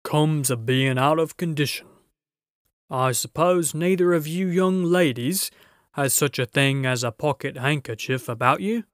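A man's voice: a little high, unhurried, no room sound, clean studio sound, natural voice. A man voicing a big, polite, good-natured working-class giant in a children's storybook, with deferential, folksy, rustic speech. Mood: flustered, apologetic.

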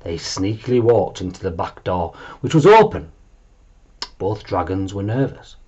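A man narrates a children's storybook indoors. His delivery is unhurried and very lively in pitch, with careful, expressive phrasing. The home recording is slightly dull. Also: slightly rough. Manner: expressive, deliberate. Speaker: storybook reader. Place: small room at home, indoors.

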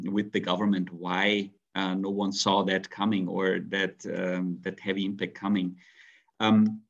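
A man in his forties from Austria, a native German speaker, speaking on a webinar video call with many restarts and fillers. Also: lowish voice. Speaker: guest speaker. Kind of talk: webinar talk. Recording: dull lo-fi video call audio.

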